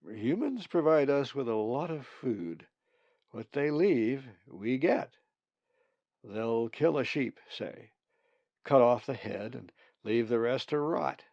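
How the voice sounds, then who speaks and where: A man's voice: medium pitch, animated, takes it slowly, gravelly, a little mellow, no echo, muffled recording, heavily acted. A man voicing a blunt, sly, hungry male raven in a storybook, with emphatic, folksy talk. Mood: relishing.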